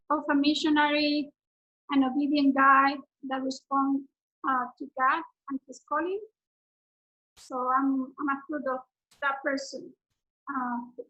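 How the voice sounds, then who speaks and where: A woman in her forties from Argentina, a native Spanish speaker with an Argentine accent, giving an earnest, halting teaching over a video call. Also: high voice, lo-fi sound. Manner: earnest, halting, with many fillers and restarts.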